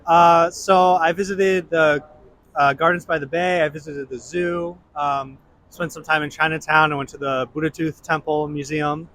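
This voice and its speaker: A man's voice: a little high, steady tone, moderate pace, a bit bright, slight background noise. A man from New York State, describing his trip eagerly and with many "uh"s and "um"s. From New York State, USA. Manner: enthusiastic, lists things with many fillers. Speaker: tourist interviewee.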